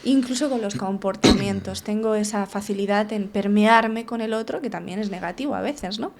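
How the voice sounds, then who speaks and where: A woman's voice: mid-range voice, moderate pace. A woman tells her story in a frank, unguarded way. She sits as a therapist and interview guest. She restarts her phrases often and keeps checking in with little tag questions.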